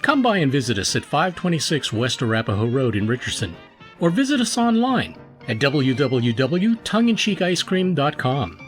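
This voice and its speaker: Animated male old-time radio announcer. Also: on the high side, normal speed, faint music behind. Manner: showy, enthusiastic.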